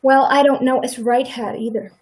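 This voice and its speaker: A woman's voice: high-pitched, fairly even intonation, moderate pace, a little mellow, husky. A woman playing a guarded, defensive farm wife in a live radio play, with a dry, pointed way of speaking.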